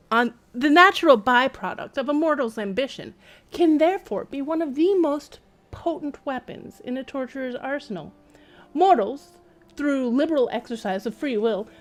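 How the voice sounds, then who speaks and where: High-pitched woman, wry game streamer. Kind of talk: casual game-stream commentary and reading in-game text aloud.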